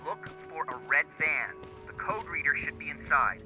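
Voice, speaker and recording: A video game resistance operator, played by a man, talks in a slightly high voice over clear background music. He is nervy and helpful, guiding a soldier remotely through dangerous ground. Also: expressive, normal speed, lightly acted. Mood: wry, helpful. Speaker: mission guide over radio. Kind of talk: acted video game dialogue.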